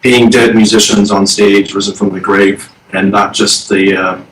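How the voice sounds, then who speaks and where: A man in his thirties, a band guest in a casual interview, talking slowly and hesitantly with many fillers. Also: fairly low pitch, flat delivery, a bit bright, a little hiss or hum. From Texas. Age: 30s. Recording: echoey room, distant from the mic.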